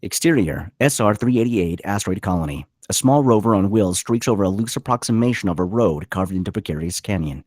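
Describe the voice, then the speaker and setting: Low, husky man narrating a screenplay. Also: lively intonation, fairly quick, few pauses, a little mellow, no echo, studio quality. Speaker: stage-direction reader. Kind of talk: scripted narration at a screenplay table read.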